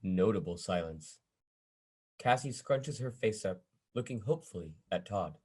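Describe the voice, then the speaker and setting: A man narrates a screenplay at a table read, reading out stage directions and scene headings. His voice is rough and husky, sitting somewhat low. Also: lively intonation, normal speed, a little mellow.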